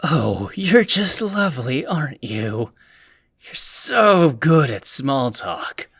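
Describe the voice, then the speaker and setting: A man's voice: medium pitch, very animated, slow, fairly bright tone, husky, no echo, studio quality, heavily acted. A man playing a sardonic, prying teenage boy in an anime dub, teasing and nonchalant. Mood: sarcastic. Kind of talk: anime fan dub acting.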